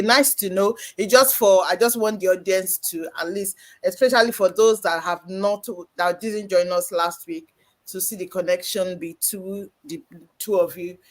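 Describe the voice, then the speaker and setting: A church live-stream host guiding a panel discussion. The voice sits at a middle pitch, and the delivery is conversational and explanatory, full of "you know" asides. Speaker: host, moderator.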